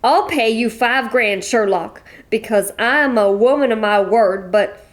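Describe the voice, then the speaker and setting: A woman's voice: a little high, a little slow, close to an everyday voice. A woman playing a brash, wealthy chateau owner in a radio play, blunt and complaining. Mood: grumbling. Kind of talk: community radio theater acting.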